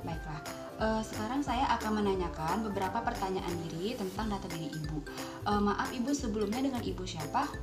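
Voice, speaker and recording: A woman's voice: mid-range voice, animated, a little fast. Young woman walking thru a procedure step by step, polite, echoey room, music behind. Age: young adult. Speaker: dental student demonstrating a clinical procedure. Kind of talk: instructional skills demonstration with role-played patient dialogue. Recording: roomy echo, music behind.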